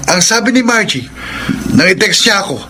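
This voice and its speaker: An actor playing an earnest, moralizing young friend in a Filipino radio drama. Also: male voice, high voice, expressive, fairly quick. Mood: urgent, concerned. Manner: earnest, admonishing. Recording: noisy radio drama recording.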